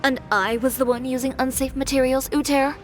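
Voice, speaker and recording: A woman's voice: high voice, steady tone, fairly quick, close to an everyday voice. A woman playing a composed, confident young fashion designer with a cool, matter-of-fact manner in game dialogue. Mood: dry, disapproving. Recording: music behind the voice.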